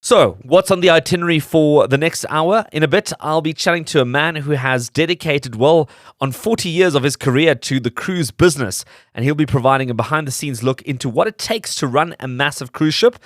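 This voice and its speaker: A man's voice: fairly high pitch, brisk, no echo, studio quality. A man hosting a radio show, narrating from a script to his listeners. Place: dry studio.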